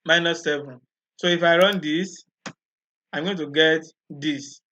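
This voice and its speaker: A man's voice: a little high, fairly even intonation, unhurried, sharp tone. A man patiently explaining programming step by step in a screen-recorded walkthrough, often repeating points. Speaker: programming tutor. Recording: dull lo-fi recording.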